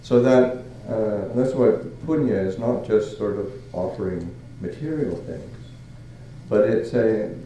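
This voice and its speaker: An older man from Canada giving a Buddhist talk as a monk, halting and searching for words with many fillers and soft chuckles. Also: on the low side, heavy reverb, a little hiss or hum, poor audio. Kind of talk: dharma talk.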